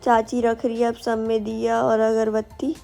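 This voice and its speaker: A woman's voice: on the high side, little pitch movement, normal speed, gravelly, warm tone, faint music behind. A young woman with a sore throat, narrating her family's festival rites as a vlog voice-over, explaining each step. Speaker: vlogger.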